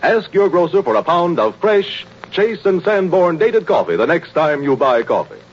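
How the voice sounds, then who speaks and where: A man's voice: fairly high pitch, big pitch swings, moderate pace. A man announcing from a script in formal, emphatic 1930s radio style. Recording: muffled, noisy 1930s radio recording.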